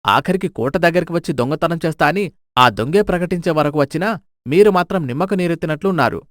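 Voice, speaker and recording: Stern, scornful king for a storybook drama, played by a man, voice a touch high, very dry sound. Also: expressive, fast, no room sound, close to an everyday voice. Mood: furious, commanding. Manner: commanding, scornful. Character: stern, exasperated king scolding his ministers. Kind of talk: dramatised folk tale dialogue.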